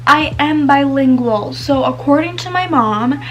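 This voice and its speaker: A woman's voice: high voice, animated, a little slow, a bit bright, slight background noise. A teenage girl vlogging casually to camera, chatty and full of fillers, whose first language is Spanish. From United States. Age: teens.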